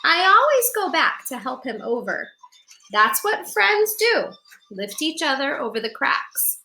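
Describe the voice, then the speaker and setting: High, animated woman reading to children. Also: unhurried, a little hiss or hum, rough recording. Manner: expressive storytelling with asides addressed to listeners. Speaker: children's storybook reader. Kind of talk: read-aloud picture book narration for young children.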